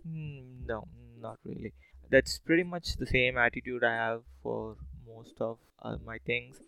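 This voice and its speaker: Rambling man with a creaky voice. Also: medium pitch, fairly even intonation, a little slow, sharp tone, rough recording. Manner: rambling, hesitant, informal. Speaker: podcast host. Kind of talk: casual solo podcast talk.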